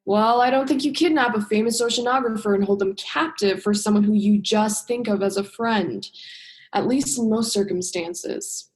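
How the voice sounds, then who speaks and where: A woman's voice: mid-range voice, moderate pace, natural voice. A young woman acting an earnest, principled diver in a student radio play. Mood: friendly, apologetic. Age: young adult.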